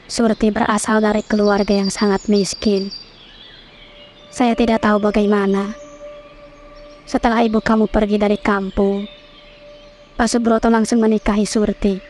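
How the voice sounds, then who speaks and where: A woman voicing an older village maid in a horror cartoon, telling things slowly and plainly. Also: mid-range voice, little pitch movement, darkish tone, a little hiss or hum, close to an everyday voice. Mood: guarded. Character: older village woman servant, calm and guarded.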